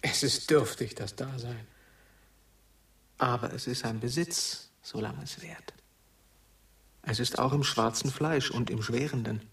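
A lost, brooding wanderer in a radio drama. His mid-range voice comes from a little way off the microphone. He speaks in halting, poetic phrases full of melancholy questioning. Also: medium pitch, a bit bright. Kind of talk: German radio drama acting. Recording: somewhat distant from microphone.

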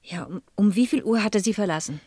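A woman's voice: medium pitch, quick pace, warm tone, breathy edge, dry recording, close to an everyday voice. An actress playing a determined, inquisitive woman sleuth in a radio crime drama, speaking formally and probingly. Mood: politely probing. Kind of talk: radio crime drama, dialogue and first-person narration.

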